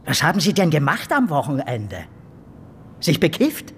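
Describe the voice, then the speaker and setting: An actor playing a sardonic, needling police detective in a radio crime drama, speaking lines in a dry, mocking, clipped manner. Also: male voice, on the high side, wildly swooping pitch, moderate pace, long reverb tail, a little hiss or hum. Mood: probing, needling.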